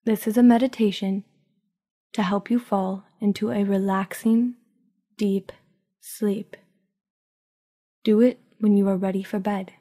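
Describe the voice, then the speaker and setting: Female meditation guide: dark, relaxed tone, very even pitch, soothing and hushed, leaving long pauses. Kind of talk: guided sleep meditation, scripted narration.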